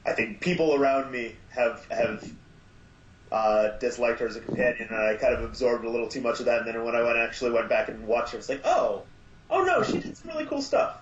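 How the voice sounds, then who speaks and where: A man talking in a casual podcast chat, thoughtful and measured as he picks his words. He sits in a roomy space, and the recording sounds muffled and dull. A faint hiss runs underneath. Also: mid-range voice, expressive. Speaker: podcast guest.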